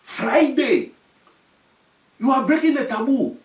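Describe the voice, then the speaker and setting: A man's voice: very high-pitched, expressive, slow, echoey room, recorded from a distance, pitched above the performer's own voice, heavily acted. A man voicing a youth who hides and poses as a stern river spirit, scolding and giving orders. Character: commanding river spirit, a hidden youth posing.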